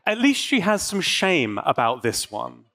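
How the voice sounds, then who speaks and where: Guy doing a casual tech conference talk, really lively pitch swings, dry cheeky jokes. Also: unhurried, gravelly. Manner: playful, dryly joking, mock-defiant. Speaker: conference speaker.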